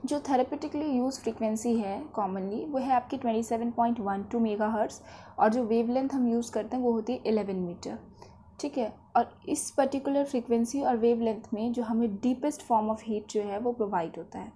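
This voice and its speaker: A woman's voice: fairly high pitch, moderate pace, dark tone, a little hiss or hum. A woman with an Indian accent explains material as a lecturer, in a didactic way with short check-in questions. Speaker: physiotherapy lecturer.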